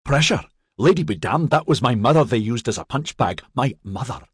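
A man's voice: on the low side, big pitch swings, normal speed, husky, no echo, clean studio sound. A man voicing an embittered, hot-tempered young male constable in an audiobook, with a harsh, sarcastic, biting manner. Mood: scornful, wounded.

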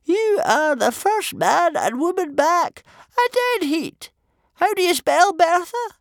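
Very high-pitched, gloomy judge. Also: slow pace, dry recording, studio quality, heavily acted. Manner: gloomy, calm, officious. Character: gloomy, fussy, high-voiced chief judge official.

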